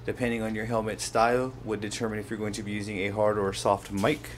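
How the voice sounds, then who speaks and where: A man's voice: lowish voice, little pitch movement, quick pace. Man giving a tutorial over background noise. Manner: step-by-step, pointing things out as he handles them. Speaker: host of a how-to product video. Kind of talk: casual hands-on tutorial narration.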